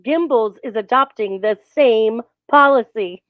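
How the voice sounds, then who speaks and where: A woman playing a brisk, polished, persuasive businesswoman in a 1940s-style radio play on a lo-fi stream. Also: a little high, natural voice. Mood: eager.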